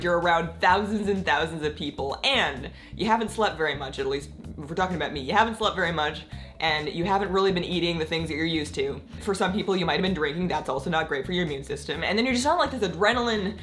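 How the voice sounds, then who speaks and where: A woman vlogging casually about her convention trip, a little croaky from losing her voice, rambling with lots of filler words. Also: fairly low pitch, fast, fairly bright tone, soft background music. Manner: chatty, rambling, many "like" fillers, laughs often. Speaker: gaming content creator. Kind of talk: casual vlog chat.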